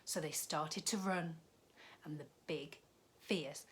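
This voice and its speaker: A woman's voice: sits low, takes it slowly. A woman, a primary teacher reading a story expressively to young children, with chatty asides.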